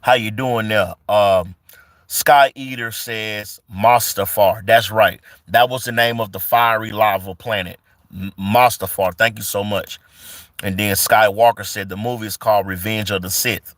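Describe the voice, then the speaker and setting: A man in his forties talks on a live stream, reading comments and greeting viewers. His voice is bright and forceful, his delivery loose and repetitive with plenty of fillers. The sound is dry and close to the mic. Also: on the low side, fairly even intonation, measured pace, dry recording. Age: 40s. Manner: reads chat comments aloud and greets viewers. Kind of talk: casual live stream chat.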